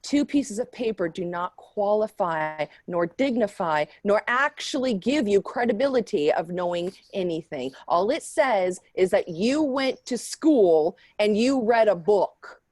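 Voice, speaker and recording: A woman's voice: mid-range voice, expressive, darkish tone, no room sound, voice pushed down. A woman playing a haughty, pompous archaeology professor who takes easy offence, in an improvised comedy sketch. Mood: indignant. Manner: pompous, indignant, deliberate phrasing. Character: haughty, easily offended female archaeology professor and occult expert.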